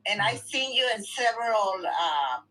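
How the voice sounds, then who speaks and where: A woman's voice: medium pitch, big pitch swings, a little slow, bright, forceful tone, poor audio. A woman chatting casually as a participant in an online group call. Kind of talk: casual chat.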